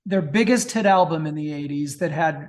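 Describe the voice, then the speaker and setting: A man's voice: a little high, fairly quick, a little mellow. A middle-aged man chatting casually as an interview guest, enthusiastic and prone to digressions. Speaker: guest author.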